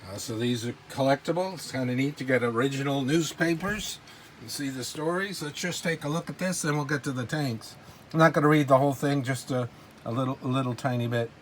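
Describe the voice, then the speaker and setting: Casual man's show-and-tell over clear background noise. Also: mid-range voice, brisk. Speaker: collector presenting models and memorabilia.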